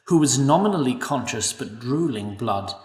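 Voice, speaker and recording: A man narrating an audio drama in an expressive storytelling manner. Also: mid-range voice, normal speed. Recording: echoey room, distant mic.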